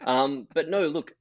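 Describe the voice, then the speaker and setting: A man's voice: fairly high pitch, no echo. A man in his thirties with an Australian accent, a podcast guest talking candidly and self-deprecatingly, laughing easily. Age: 30s. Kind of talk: casual conversational interview. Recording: dull lo-fi, dry sound.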